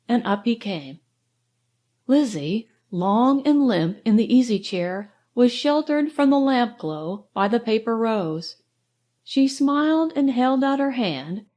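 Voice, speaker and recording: A woman narrating a literary novel in the first person, in a measured, expressive reading style. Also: a little high. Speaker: audiobook narrator.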